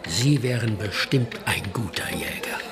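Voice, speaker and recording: Unhurried village storyteller, animated melody, creaky voice, teasing, vivid, radio drama. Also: male voice, lowish voice, loud music behind the voice. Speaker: actor in a radio play.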